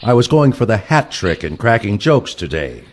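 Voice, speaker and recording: An actor playing an older retired male assassin, a stern mentor with a dry, curt, deadpan manner in a comic audio play. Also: lowish voice, normal speed, slight background noise. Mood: matter-of-fact.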